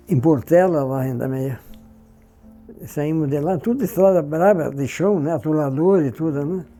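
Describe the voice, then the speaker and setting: A man's voice: fairly high pitch, mellow. A man in his nineties in a documentary interview, recounting a rainy journey in loose, chatty bursts. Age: 90s.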